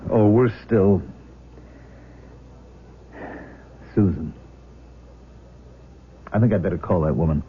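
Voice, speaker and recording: A man's voice: deep voice, moderate pace, lightly acted. An actor playing a protective, reasoning middle-aged father in a radio drama, earnest and firm. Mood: regretful, worried. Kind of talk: 1970s radio drama acting. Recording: dull, noisy 1970s radio recording.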